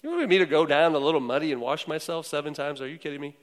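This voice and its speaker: A man's voice: on the high side, quick pace, close to an everyday voice. A man voicing a proud, indignant male army commander who scoffs in disbelief. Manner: scoffing, incredulous.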